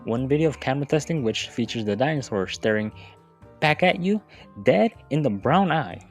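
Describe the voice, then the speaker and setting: A man's voice: mid-range voice, animated, normal speed. Casual, wry man narrating over music. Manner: informal, profane, wry asides. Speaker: YouTube video essayist. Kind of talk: casual scripted video-essay narration. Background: background music.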